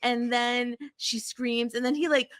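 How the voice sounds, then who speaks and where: A woman's voice: a little high, steady tone, measured pace, fairly bright tone, no echo, studio quality. A woman co-hosting a podcast, chatting casually with comic, exaggerated emphasis. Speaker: podcast host.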